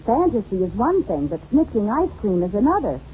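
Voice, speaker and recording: A woman's voice: medium pitch, very animated, brisk, natural voice. A woman playing a skeptical, wisecracking suburban wife in a wry 1940s radio comedy style. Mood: indignant. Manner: wry, sarcastic. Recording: muffled, very noisy old radio recording.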